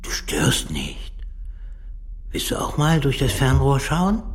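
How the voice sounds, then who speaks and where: An actor playing a gentle, whimsical old Pope who talks playfully to a child and drops in Italian, in a German radio play. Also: male voice, mid-range voice, huge pitch swings, a little hiss or hum. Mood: kindly, curious. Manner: drawn-out, playful, sprinkles in Italian.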